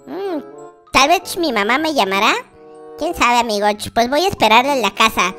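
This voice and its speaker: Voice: medium pitch, expressive, normal speed, sharp tone, faint music behind. A performer voicing a whiny, naive baby boy in a Minecraft roleplay, with childish wording, exclamations. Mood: hopeful, waiting. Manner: childish diminutives, exclaiming, addresses viewers constantly. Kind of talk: in-character Minecraft roleplay commentary.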